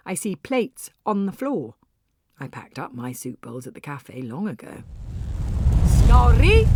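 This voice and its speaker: A woman narrating a story in the first person, with an expressive, wry and theatrical delivery. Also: sits low, no room sound, clean studio sound. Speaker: audiobook narrator.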